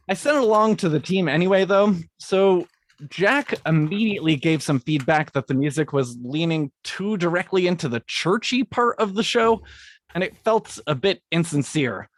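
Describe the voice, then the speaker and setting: A man's voice: fairly high pitch, no room sound. A man, a guest composer, reading scripted lines for a podcast and stopping to redo them. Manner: reads scripted lines, then breaks off to restart them. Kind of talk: recording a podcast segment with retakes.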